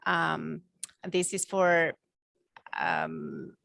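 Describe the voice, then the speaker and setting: Non-native woman, lowish voice, hesitant guest introduction. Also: sing-song, gravelly, fairly bright tone, no echo, studio quality. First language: not English. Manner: hesitant, halting with fillers. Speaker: visiting guest. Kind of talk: brief self-introduction.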